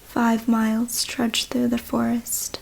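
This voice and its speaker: Woman, whispered ASMR story reading, breathy and husky, even pitch, deliberate. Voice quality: soft breathy whisper with some creak. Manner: hushed, slow, deliberate storytelling. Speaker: ASMR reader.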